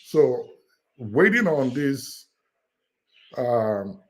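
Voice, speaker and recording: A man's voice: medium pitch, very animated, very slow. A man from Nigeria with a Nigerian accent, talking through his views in a panel discussion with many fillers and restarts. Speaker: panel participant. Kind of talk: casual discussion. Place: United States.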